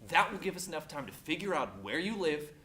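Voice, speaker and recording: Cocky young guy, acted by a male student, fairly high voice with lively swoops, comic argumentative monologue. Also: fairly quick, a little hiss or hum. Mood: heated, threatening. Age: young adult. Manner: mock-logical, emphatic, argumentative. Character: cocky ranting man making absurd boastful threats. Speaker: student actor. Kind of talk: performed comedic film monologue.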